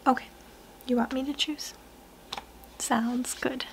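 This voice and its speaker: A young woman speaking softly, often in a whisper, in a gentle, unhurried ASMR personal-attention roleplay, murmuring close to the listener. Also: sits high, fairly even intonation, creaky, a little hiss or hum. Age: young adult. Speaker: ASMR artist roleplaying a portrait painter.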